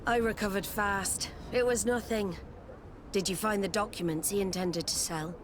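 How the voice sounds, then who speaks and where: A woman's voice: medium pitch, fairly even intonation, moderate pace, lightly acted. A woman playing a proud, resolute female admiral in a video game, with a firm, formal, commanding manner. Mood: grateful, formal. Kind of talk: video game cutscene acting. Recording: noisy outdoor ambience behind the voice.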